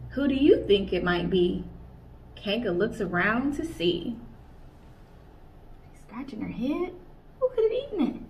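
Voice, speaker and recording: Young woman, children's librarian, playful read-aloud, high voice, small room, faint hiss. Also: big pitch swings, unhurried, long pauses. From United States. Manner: sing-song, playful storytelling. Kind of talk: picture book read-aloud for kids. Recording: slightly distant, dull, faint hiss.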